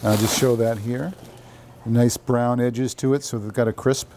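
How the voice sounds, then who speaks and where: A man's voice: medium pitch, fairly even intonation, measured pace. A middle-aged man chatting casually as he co-hosts a cooking demonstration in a large kitchen. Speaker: cooking show co-host. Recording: faint background noise.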